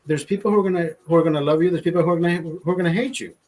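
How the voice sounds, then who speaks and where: High-voiced, rambling, profane man. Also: racing. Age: 40s. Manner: rambling, profane, repeats and restarts phrases; loud emphatic motivational rants. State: drinking beer, a bit tipsy. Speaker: livestreamer. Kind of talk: casual livestream chat.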